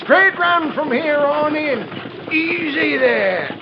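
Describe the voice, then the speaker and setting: An actor playing an excitable old western stagecoach driver who talks folksily to his horses in a 1940s radio drama. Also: extremely high pitch, slow, heavily acted. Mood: soothing, weary. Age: older. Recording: scratchy, muffled 1940s radio recording.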